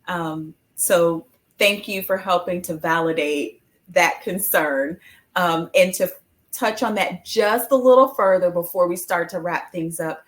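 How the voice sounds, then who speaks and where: A woman's voice: fairly low pitch, measured pace. A woman hosting an interview, speaking in a thoughtful, appreciative way as she frames her questions. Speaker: host. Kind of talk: conversational interview.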